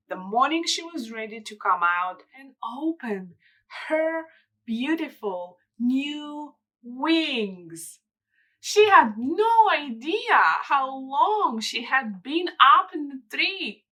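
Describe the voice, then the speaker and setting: A woman narrating a children's storybook as a storyteller, with deliberate, expressive phrasing in short pieces. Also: high-pitched, low-quality recording.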